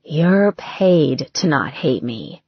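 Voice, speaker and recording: A woman's voice: low-pitched, big pitch swings, very slow, slightly rough, dry recording, lo-fi sound, exaggerated voice. A woman voicing a volatile, self-pitying young female pop star in recovery, bitter, sarcastic and given to exclaiming and swearing, as in an audiobook. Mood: bitter, agitated.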